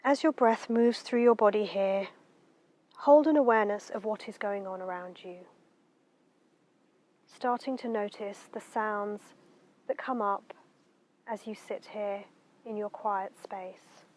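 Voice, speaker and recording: A woman guiding a meditation in a gentle, unhurried, soothing way, letting her phrases end softly. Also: mid-range voice. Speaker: meditation guide. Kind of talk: guided meditation narration.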